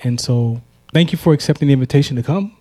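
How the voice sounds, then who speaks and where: A man hosting a radio interview show, giving shout-outs and chatting casually with his guest. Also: mid-range voice. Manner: warm shout-outs, quick excited reactions. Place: radio station studio.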